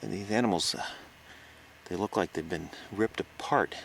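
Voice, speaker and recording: An actor playing a frightened reporter recording himself on a secret night stakeout in a horror audio drama, halting and stammering. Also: male voice, deep voice, creaky, a bit bright. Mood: shaken, uneasy. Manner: halting, stammering, gasping exclamations. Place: outdoors on a farm. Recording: noisy outdoor location recording.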